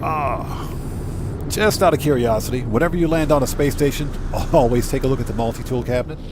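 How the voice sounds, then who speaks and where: A man's voice: mid-range voice, fairly quick, background noise. A man giving casual, off-the-cuff commentary as he live streams a video game.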